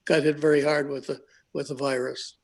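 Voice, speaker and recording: Guest: man, late 90s, veteran, slow halting recollection, slightly higher voice. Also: flat delivery, vocal fry, darkish tone. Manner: halting, searching for words with many uhs. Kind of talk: live video conversation.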